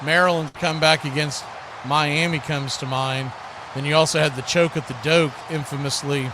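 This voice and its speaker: Male play-by-play announcer, higher-pitched, live football call over clear background noise. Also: steady tone, moderate pace. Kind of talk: live American football commentary.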